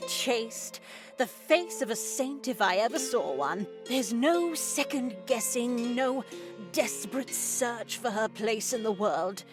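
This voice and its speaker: A devout, strict mother-figure nun from a visual novel. Her voice sits fairly high and moves slowly, with gentle formality, as she instructs over music. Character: pious, strict motherly nun leading a convent retreat. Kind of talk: scripted visual novel voice acting.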